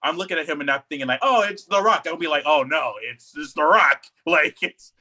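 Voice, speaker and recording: Bright-voiced man chatting casually about opinions. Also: a little high, fairly quick. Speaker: podcast co-host. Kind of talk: casual pop culture chat.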